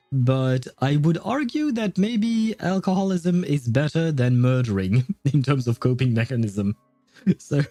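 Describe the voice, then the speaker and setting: Male game streamer; mellow, dry close-mic voice, rambling and jokey, faint music behind. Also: medium pitch, no echo. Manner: rambling, jokey, thinking aloud. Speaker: livestreamer. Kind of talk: casual livestream game commentary.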